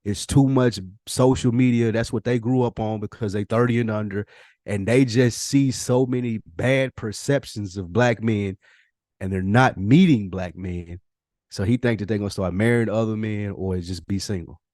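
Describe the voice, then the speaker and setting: A man's voice: fairly low pitch, steady tone, moderate pace, a little mellow, no room sound, studio quality. A man chatting casually as a podcast host, blunt and questioning, with profane slang. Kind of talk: casual conversational podcast chat.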